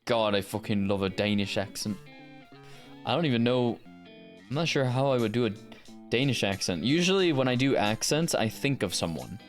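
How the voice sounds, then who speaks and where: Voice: mid-range voice, expressive, normal speed, faint music behind. A livestreamer and voice actor chatting casually and thinking aloud as they go.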